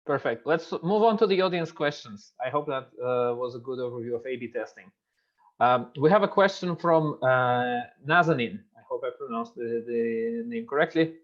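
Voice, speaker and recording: Brisk male webinar moderator, muffled audio. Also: mid-range voice, animated. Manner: brisk, hands questions to panelists. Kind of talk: moderating audience questions.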